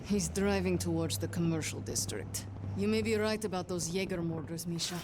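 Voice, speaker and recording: A woman's voice: lowish voice, normal speed, heavy background noise, lightly acted. Actress as fierce, terse Soviet woman sniper. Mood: tense, hushed. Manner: terse, intense, urgent. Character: fierce, stubborn young female Soviet sniper. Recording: loud music and battle scene. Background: loud dramatic score.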